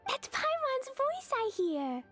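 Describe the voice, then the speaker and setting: A woman's voice: very high-pitched, wildly swooping pitch, slow, fairly bright tone, faint music behind, heavily acted. A woman voicing an innocent, earnest and mischievous little girl in a video game, cutesy and naive. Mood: tempted. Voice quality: childlike, cutesy. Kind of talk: video game character acting.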